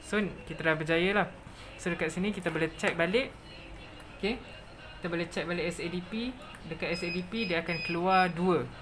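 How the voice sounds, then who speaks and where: A presenter with a Malaysian accent narrating a step-by-step software tutorial, mixing in English technical terms. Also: mid-range voice, fairly quick.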